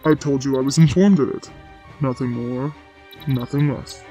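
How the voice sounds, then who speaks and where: A man voicing a proud, aloof dark warrior in a sci-fi comic dub, sardonic and sneering in his delivery. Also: medium pitch, steady tone, normal speed, faint music behind, natural voice. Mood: mocking, contemptuous. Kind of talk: dramatic voice acting in a comic dub.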